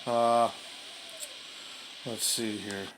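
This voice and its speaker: Slow-talking man, noisy recording. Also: fairly low pitch, level tone. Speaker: radio hobbyist reviewer. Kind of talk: casual unboxing commentary, thinking aloud. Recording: clearly noisy home video recording.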